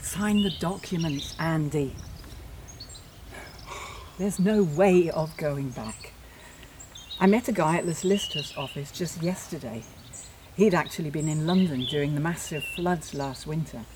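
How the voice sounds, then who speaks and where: A woman's voice: deep voice, a little slow, long pauses. An actress playing a firm, sombre, matter-of-fact woman in a naturalistic audio play. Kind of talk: naturalistic audio drama acting. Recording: clear outdoor noise with clicks.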